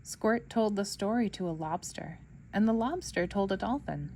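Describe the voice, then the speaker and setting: A woman storyteller reading a children's book aloud in an expressive narrating style for kids. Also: medium pitch, moderate pace, a little hiss or hum.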